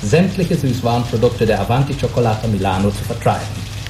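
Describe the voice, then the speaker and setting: A man acting as a brisk, authoritative executive who chairs a meeting in a German radio drama, speaking formally and decisively. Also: mid-range voice, expressive, echoey room, noisy background, natural voice. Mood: formal, firm.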